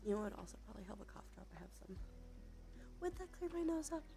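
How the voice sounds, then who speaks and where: A woman speaking softly and unhurriedly in a chatty ASMR livestream style, often slipping into a breathy whisper, close to the microphone. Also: mid-range voice, big pitch swings, quick pace. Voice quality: breathy whispery delivery with creaky fry. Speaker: streamer. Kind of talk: soft-spoken ASMR livestream, drifting into whispers. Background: music.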